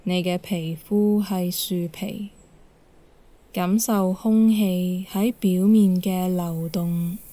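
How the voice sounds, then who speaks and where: A woman leads a guided meditation as an instructor, calm and measured, giving gentle instructions with pauses. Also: fairly low pitch.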